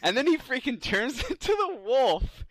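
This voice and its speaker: A man co-hosting a podcast, talking casually and excitably like a gushing fan. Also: extremely high pitch, normal speed, dry recording. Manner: gushing, excitable geek talk. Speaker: podcast co-host.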